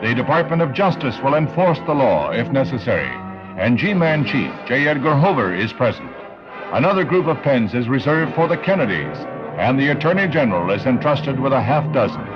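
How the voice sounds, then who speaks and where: Newsreel narrator: American man, mid-pitched, formal and declamatory, scripted 1960s delivery with prominent music underneath. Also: big pitch swings, fairly quick, lo-fi sound.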